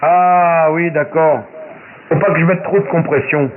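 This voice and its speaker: A man's voice: fairly high pitch, steady tone, a little slow, crowd noise. Man on tinny shortwave ham radio. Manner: slangy, crude, hesitant. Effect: shortwave ham radio transmission. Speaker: amateur radio operator. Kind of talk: casual radio chat. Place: amateur radio link. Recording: narrow-band shortwave radio with faint static.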